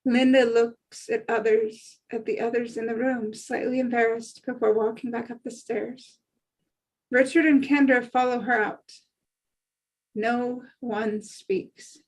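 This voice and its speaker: A woman reading screenplay stage directions as scripted narration in a measured way. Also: a little high, fairly even intonation, low-quality recording.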